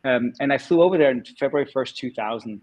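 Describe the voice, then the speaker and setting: Male guest, 40s, Danish native with a Californian accent, mid-pitched, easygoing and talkative, dry room. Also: quick pace, darkish tone, no echo, muffled recording. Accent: Californian American. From Denmark. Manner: chatty, laughs often, many fillers. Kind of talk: casual interview storytelling.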